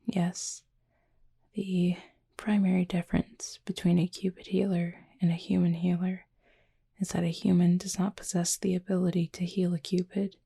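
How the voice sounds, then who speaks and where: Woman voicing a soft, husky, low-pitched gentle Cupid. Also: little pitch movement. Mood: calm, explanatory. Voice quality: soft, breathy, husky, often near-whisper. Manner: slow, measured, formal storytelling with soft pauses. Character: gentle adult Cupid roommate, wistful, affectionate, softly teasing. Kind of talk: intimate ASMR audio roleplay.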